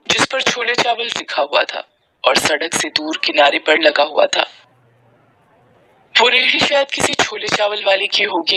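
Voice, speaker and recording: A man narrating a scripted moral story as a storyteller, in a dramatic, expressive manner. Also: high-pitched, vocal fry, heavy background noise.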